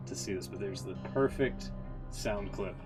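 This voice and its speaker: A man's voice: sits low, normal speed, music in the background. A man casually commentating on a game livestream, talking informally and swearing now and then.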